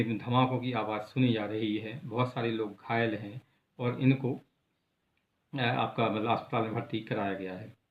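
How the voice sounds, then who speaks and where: An Indian man presenting an unscripted news explainer in a halting, repetitive way, leaning on filler words. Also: medium pitch. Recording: echoey room, thin phone-like sound.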